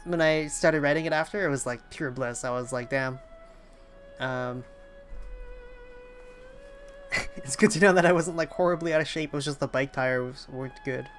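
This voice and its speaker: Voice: mid-range voice, moderate pace, pauses often, faint music behind. A streamer chatting casually during a game livestream in a rambling, informal way.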